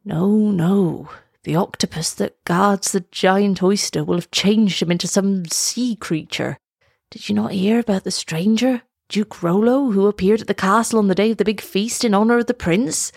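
A woman voicing a kindly, gossipy old villager man with a shaky, drawn-out delivery in a children's storybook. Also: medium pitch, vocal fry, a little mellow, slightly rough, no room sound, clean studio sound. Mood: confiding.

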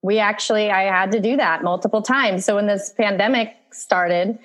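A woman's voice: mid-range voice, moderate pace, fairly bright tone. Friendly woman from Virginia telling stories. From Virginia, USA. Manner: friendly storytelling, laughs often. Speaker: podcast guest. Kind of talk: casual interview chat.

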